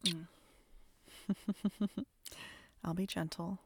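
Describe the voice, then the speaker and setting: A woman whispering softly and intimately close to the mic, in a slow, hushed audio roleplay. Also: lowish voice, steady tone, breathy edge, no echo.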